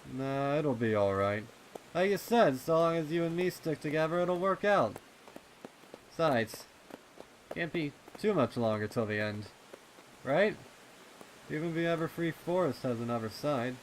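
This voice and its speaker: A man's voice: a little high, expressive, unhurried, pauses often, slight background noise, pitched above the performer's own voice, low-quality recording. A man voicing a young pony colt, an easygoing, loyal optimist with a reassuring, plain-spoken manner, in a story reading.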